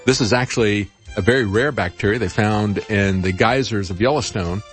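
A man's voice: on the low side, moderate pace, a bit bright, faint music behind. A middle-aged man hosting a talk show, giving informal, rambling, conversational news commentary. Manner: rambling, conversational, chuckles at his own asides.

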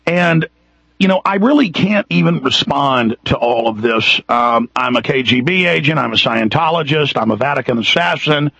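Man, Texan accent, talk radio host, raspy, forceful, repetitive rant. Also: mid-range voice, normal speed, no room sound. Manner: emphatic, repetitive, building intensity. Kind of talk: impassioned talk radio monologue. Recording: muffled radio broadcast.

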